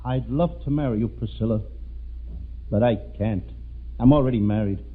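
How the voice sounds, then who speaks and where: A man's voice: mid-range voice, moderate pace, husky, natural voice. A man playing a cheeky, bumbling, wisecracking colonial suitor in a clownish 1940s radio comedy style. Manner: clownish, mock-romantic wisecracking. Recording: tinny, very noisy old radio recording.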